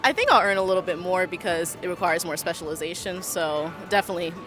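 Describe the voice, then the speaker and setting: A woman's voice: lowish voice, fast. A woman who works as a language teacher, answering street interview questions casually. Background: music and crowd.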